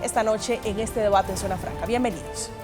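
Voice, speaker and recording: A woman's voice: mid-range voice, a little fast, over loud music. A woman formally moderating a televised debate as its host. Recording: long hall-like reverb.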